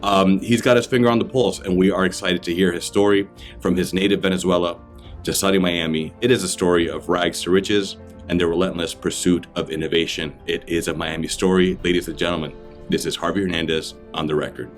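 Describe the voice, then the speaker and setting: Deep-voiced male podcast host. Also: steady tone, brisk, soft background music. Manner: upbeat, polished announcer delivery. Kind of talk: scripted intro and interview questions.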